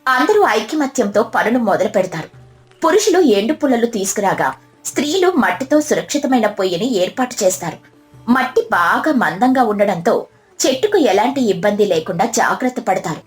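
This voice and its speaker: A woman's voice: fairly high pitch, big pitch swings, normal speed, soft background music. A woman narrator reading a scripted moral story.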